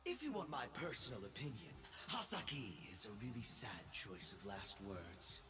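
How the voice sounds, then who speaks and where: A man playing an arrogant, power-mad young space emperor in a video game, pompous and gloating, swinging between shouts and whispers. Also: fairly low pitch, big pitch swings, normal speed, heavily acted. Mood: mocking, smug. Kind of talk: theatrical video game voice acting. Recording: loud music behind the voice. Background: music.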